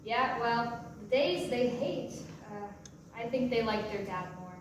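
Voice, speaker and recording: A woman's voice: fairly high pitch, a little slow, lightly acted. An actress playing a chatty, guarded divorced mother on a date in a naturalistic staged play reading. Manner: probing, playfully defensive questioning. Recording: distant mic in roomy echo, hissy noise.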